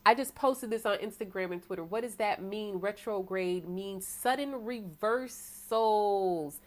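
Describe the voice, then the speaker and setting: Preachy American woman reading tarot. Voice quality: self-described deep voice. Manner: preachy, rhetorical, asks "right?" often, bursts of fast talk. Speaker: tarot reader. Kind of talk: tarot sermon to an online audience.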